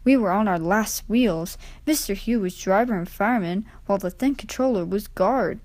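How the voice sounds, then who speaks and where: Lady doing a wise old train grandpa from a storybook, earnest, steady, bit of a scold. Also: mid-range voice, moderate pace, dry recording, close to an everyday voice. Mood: earnest reminiscing. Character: wise, earnest old male steam engine, gently reproving.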